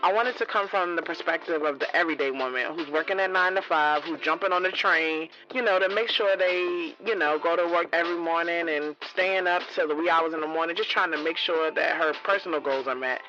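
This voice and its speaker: A woman's voice: on the low side, brisk, hardly any pauses, sharp tone, dull audio. An actress plays a thirtyish woman author in an audio drama, hopeful and candid. She speaks casually and earnestly, like an everyday striver telling her own story. Music plays behind her. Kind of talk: first-person audio drama narration and radio interview dialogue.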